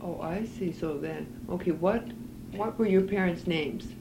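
A woman's voice: lowish voice, unhurried. A woman conducting an oral history interview in an apartment, patiently prompting and repeating her questions. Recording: hissy 1980s cassette tape.

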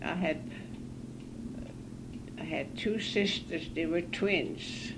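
A woman in her nineties from northern Norway, recalling her life haltingly in an oral history interview in her apartment. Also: on the low side. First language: Norwegian. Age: 90s. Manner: halting, hesitant, self-correcting. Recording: hissy 1980s cassette tape.

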